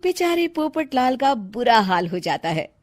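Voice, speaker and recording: Female narrator, expressive intonation, scripted comic story, storyteller delivery. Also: on the high side, a little slow.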